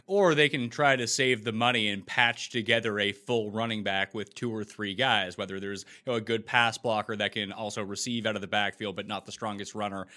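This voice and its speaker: A man's voice: fairly low pitch, fairly even intonation, a little fast, few pauses, pushed and bright. A man from Canada hosting a sports podcast, asking long, conversational questions of his guest. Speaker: podcast host.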